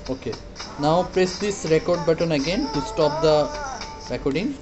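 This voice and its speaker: A man demonstrating a product casually, hesitant and halting, often repeating his words. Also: a little high, music in the background. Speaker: product reviewer.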